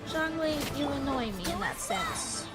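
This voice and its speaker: High-pitched, chatty woman commenting on gameplay. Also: animated, a little slow. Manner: chatty, slangy, talks back to the game. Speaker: gaming streamer. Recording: game music behind voice. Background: video game music.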